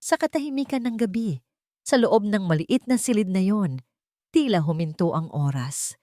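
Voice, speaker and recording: A woman's voice: medium pitch, expressive, normal speed, mellow, dry recording, studio quality. A woman reading a scripted, dramatic love story as a storyteller.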